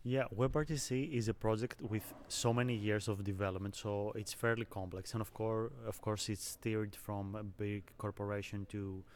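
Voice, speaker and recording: A young man from Greece, a software engineer, calmly explaining technical work in an interview. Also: on the low side, normal speed, few pauses, a little hiss or hum. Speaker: software engineer, conference speaker. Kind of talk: interview answers.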